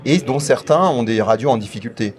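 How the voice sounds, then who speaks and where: A man's voice: medium pitch, lively intonation, a little fast. A man interviewing a guest off the cuff, feeling his way through long, roundabout questions. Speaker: radio show interviewer.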